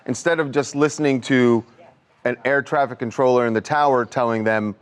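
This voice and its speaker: A man's voice: mid-range voice, fairly even intonation, fairly quick, smooth. A man co-hosting a conversational news talk show. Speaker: co-host.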